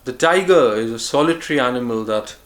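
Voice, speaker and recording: A man vlogging a reflective solo monologue, musing and earnest, with frequent pauses and restarts. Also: mid-range voice. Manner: earnest, speaks of choosing calm over harshness.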